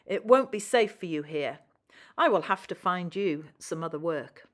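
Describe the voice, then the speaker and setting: A strict storybook railway controller, acted out by a woman, firm and bossy. The words come out forcefully, with animated pitch. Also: moderate pace, lightly acted. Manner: stern, firm.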